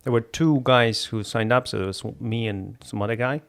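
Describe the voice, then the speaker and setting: A man's voice: mid-range voice, normal speed, gravelly, smooth. A man from Poland, a native Polish speaker, a comedian co-hosting a podcast, telling a story hesitantly with lots of restarts. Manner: hesitant, restarts, plain phrasing.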